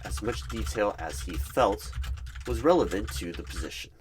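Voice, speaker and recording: Man narrating an ASMR roleplay, lively intonation. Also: on the low side, moderate pace, slight background noise. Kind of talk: ASMR third-person story narration.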